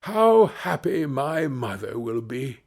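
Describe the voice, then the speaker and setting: A man's voice: mid-range voice, sing-song, slow, gravelly, a little mellow, exaggerated voice. A man voicing a cheerful, naive young peasant lad in a fairy tale, earnest and full of exclamation. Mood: smugly pleased. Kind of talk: fairy-tale storybook character reading.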